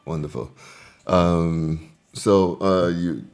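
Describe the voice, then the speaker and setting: A man hosting a casual podcast interview, speaking haltingly with many fillers and restarts. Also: deep voice, steady tone, a little mellow, clear, resonant tone. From Liberia. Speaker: podcast host.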